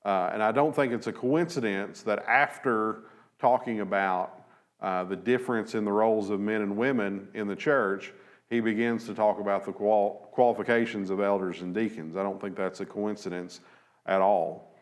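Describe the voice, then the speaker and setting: A man in his thirties teaching a Bible lesson from a church lectern, measured and thoughtful, with frequent fillers and restarts. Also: fairly low pitch, steady tone. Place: church auditorium at a lectern, slides behind.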